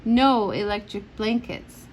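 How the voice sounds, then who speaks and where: A woman's voice: medium pitch, takes it slowly, slight background noise, natural voice, rough recording. A woman reading as an exasperated farmer. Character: exasperated grown man farmer.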